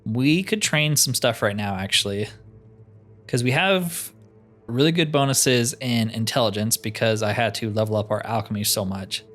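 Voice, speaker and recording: A man's voice: mid-range voice, normal speed, a bit bright, faint music behind. A man giving casual, easygoing game commentary in a chatty, slangy way. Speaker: gaming host playing a roleplay playthrough.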